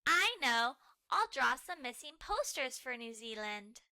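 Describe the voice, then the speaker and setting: Woman putting on an excited, high-pitched little puppy girl. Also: unhurried, no room sound, full character voice. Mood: playful. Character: young cartoon puppy girl, cheerful and eager.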